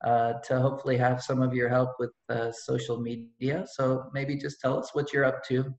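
A man's voice: mid-range voice, level tone, moderate pace, darkish tone. A middle-aged man hosting a volunteer meeting over a video call, friendly and often restarting his words. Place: living room at home. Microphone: laptop mic on a video call. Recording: roomy, dull video call audio.

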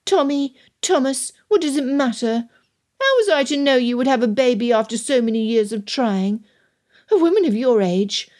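A woman narrator acts out a brash youngest daughter in a Regency story. She is a self-centred teenager, whining and petulant, with the pitch pushed up. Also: lively intonation, normal speed. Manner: whining, blurting loudly.

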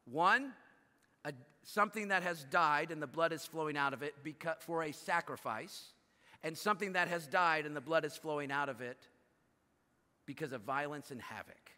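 A pastor in his thirties preaches from a big church stage, pushing his voice up and pulling it down hard to stress a point. His tone is bright and a little high for a man, and the hall answers with a long tail of reverb. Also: moderate pace. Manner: animated preaching, voice rising and dropping for emphasis. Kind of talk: sermon.

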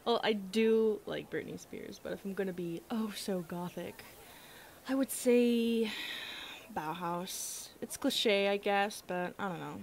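A woman's voice: medium pitch, unhurried, gravelly, a little hiss or hum. A woman playing a playful, blunt young goth student who teases her friends in an ASMR roleplay. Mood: casual. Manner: teasing, blunt. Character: bossy, playful, foul-mouthed young goth girl student.

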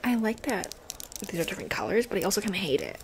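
A young adult woman doing a casual ASMR role play, speaking softly and often slipping into a whisper with mouth sounds, close to a desktop mic. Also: medium pitch, very animated, fast talker. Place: bedroom, indoors. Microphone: close desktop condenser mic. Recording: close mic with noise, clicks.

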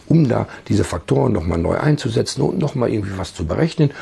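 Male video host, fifties, low register, badly hoarse and raspy, informal sceptical commentary. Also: big pitch swings, fast, warm tone. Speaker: YouTube host. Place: book-lined study, indoors. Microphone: lapel mic.

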